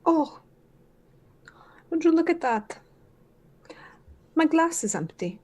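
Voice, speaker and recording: A woman voicing a coy, flattering noblewoman at a party, overly polite and sweet. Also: extremely high pitch, slow pace, slight background noise, heavily acted.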